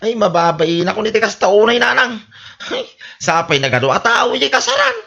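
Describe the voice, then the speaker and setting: A man's voice: high voice, huge pitch swings, moderate pace, vocal fry, sharp tone, exaggerated voice. A man with an Ilocano Filipino accent playing a boastful drunkard son who complains indignantly in a comedy radio drama. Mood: wailing, aggrieved. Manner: exaggerated, wailing complaints to his mother.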